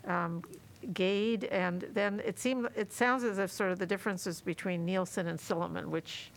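A woman's voice: medium pitch, fairly even intonation, moderate pace, creaky. A woman council member in a public meeting, speaking hesitantly and tentatively as she sums up the discussion.

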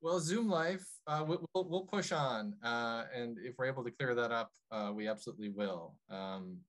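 Male panel host on a video call, friendly but halting, many fillers, mid pitch. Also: a bit bright. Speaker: host and moderator.

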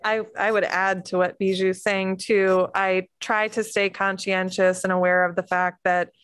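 A woman's voice: medium pitch, clean tone, no room sound. A woman commissioner speaking thoughtfully in a city meeting discussion.

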